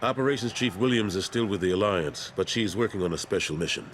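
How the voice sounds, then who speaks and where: A male actor plays a weary older officer turned statesman. His low voice is measured and sincere, a game-cinematic delivery with a clear ambient hum behind it. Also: lightly acted. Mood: guarded, grave. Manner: measured, earnest, resigned. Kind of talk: video game cinematic acting.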